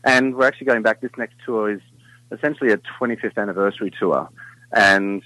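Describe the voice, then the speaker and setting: A middle-aged man with a low baritone voice, chatting casually as a guest in an interview and rambling with many fillers and restarts. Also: brisk, pushed and bright, low-quality recording. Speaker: singer in a vocal group, guest.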